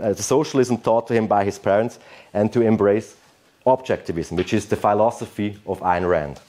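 A man in his thirties introducing a guest speaker to an audience over a handheld microphone, formal and slightly halting. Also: medium pitch, mellow. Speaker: event host. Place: lecture room.

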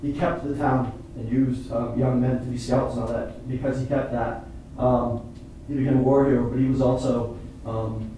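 A middle-aged man talks informally with an audience from a theatre stage. He explains in a halting, earnest way. The sound is very reverberant and picked up from far off, with clear noise behind. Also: medium pitch, flat delivery, mellow. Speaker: playwright and performer at a post-show talkback. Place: indoor theatre stage, seated on the floor. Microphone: far camera microphone. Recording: distant echoey hall recording with noise.

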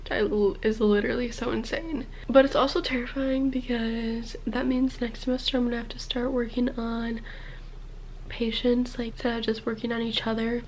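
Young woman vlogger, stuffy, chatty, raised voice with some vocal fry, close camera in a small bedroom. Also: on the high side, darkish tone, a little hiss or hum, poor audio. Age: young adult. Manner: chatty, lots of "like" and "literally". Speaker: college student vlogger. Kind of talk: casual chatty vlog.

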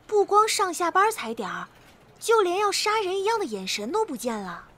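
Actress as a very high-voiced gossipy office girl. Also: sing-song, moderate pace, fairly bright tone, exaggerated voice. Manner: gossipy, conspiratorial. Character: gossipy female office assistant. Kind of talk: audio drama acting.

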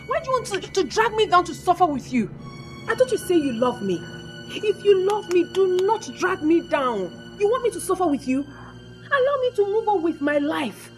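A woman's voice: very high-pitched, a little mellow. A woman with a Nigerian accent playing a haughty, materialistic young girlfriend in a comedic melodrama, blunt, emphatic and scolding.

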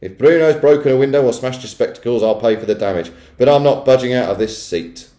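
A man voicing a large, coarse, pushy middle-aged father in a storybook, brusque, indignant and shouting. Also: mid-range voice, quick pace, voice pushed up, lightly acted. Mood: gruff, defiant. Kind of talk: children's storybook character voice. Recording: echoey room.

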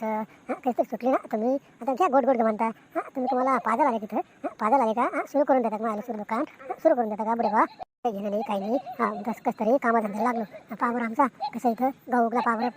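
A woman's voice: a little high, fast, a crowd in the background, close to an everyday voice. A woman voicing a chatty, boastful village man in an amateur cartoon comedy, emphatic and repetitive, with a rural Maharashtrian accent. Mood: chatty, animated. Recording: noticeable background noise.

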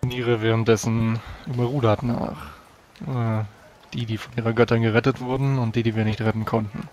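A man narrates his own character in a tabletop game. He speaks deliberately and with a subdued, literary manner at medium pitch, over clear background noise. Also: level tone, darkish tone. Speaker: tabletop role-player. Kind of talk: first-person narration of his character's actions.

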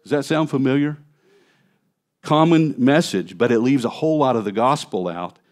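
A man in his fifties, a pastor preaching an expository sermon in a conversational way from a church stage, on a headset mic. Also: mid-range voice. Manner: conversational preaching, animated gestures at a lectern. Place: church stage, large indoor hall.

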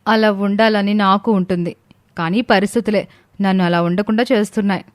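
A woman's voice: mid-range voice, fairly even intonation, fairly quick, dry recording, close to an everyday voice. Woman voicing a jealous young wife, complaining, sarcastic, scheming, dry close sound, family storybook drama. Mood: bitter, sulky. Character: jealous, bitter young married woman, scheming sister-in-law.

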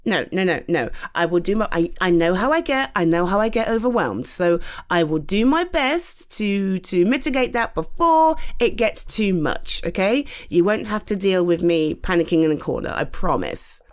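A woman's voice: mid-range voice, moderate pace, hardly any pauses. A British woman acting a cute, coaxing, easily flustered girlfriend in a casual one-sided roleplay conversation. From United Kingdom. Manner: cute, coaxing, giggly, expressive. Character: playful, eager, easily flustered girlfriend talking to partner. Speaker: roleplay voice performer.

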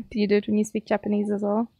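A woman's voice: medium pitch, little pitch movement, fairly quick, slightly rough, no room sound, highs cut off. Chatty South African woman streamer. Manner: chatty, playful, teasing chat. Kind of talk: casual chat with viewers while gaming.

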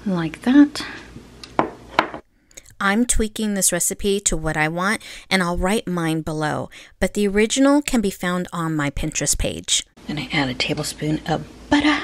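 Lady chatting through a home cooking vlog, lowish voice, noisy kitchen behind her. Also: gravelly. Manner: chatty, informal, playful wording. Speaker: home cook vlogger. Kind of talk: casual cooking vlog narration.

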